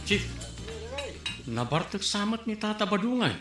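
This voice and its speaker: An actor playing an eager village aide who reports to his chief in lively bursts. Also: high voice, unhurried, echoey room, music in the background. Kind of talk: Ilocano radio comedy drama acting.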